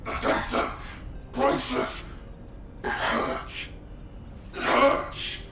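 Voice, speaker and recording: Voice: high-pitched, wildly swooping pitch, takes it slowly, vocal fry, background noise, heavily acted. A performer voicing the tormented voices of a giant monster in a video game, ranting in broken, shrieked outbursts. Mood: anguished rage. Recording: echoing, distant, buried under loud music.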